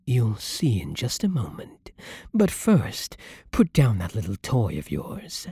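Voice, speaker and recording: A man voicing a centuries-old, possessive vampire lord in an ASMR roleplay, silky and commanding with a breathy voice that often sinks into whispers. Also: lowish voice, huge pitch swings, dark tone, no room sound, clean studio sound, full character voice. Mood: smug, taunting. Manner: slow, theatrical, silky, commanding, condescending. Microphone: close studio microphone, dry.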